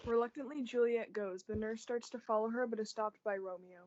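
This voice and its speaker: A woman's voice: on the high side, a little fast, a little mellow. A young woman reading scripted narration for a student reader's theatre play. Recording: dull lo-fi audio.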